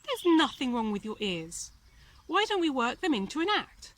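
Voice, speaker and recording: A plucky little circus mouse, played by a woman reading a storybook, speaks in a high, excitable voice. He is an eager, loyal friend who exclaims and encourages. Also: normal speed, no echo. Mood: eager, reassuring.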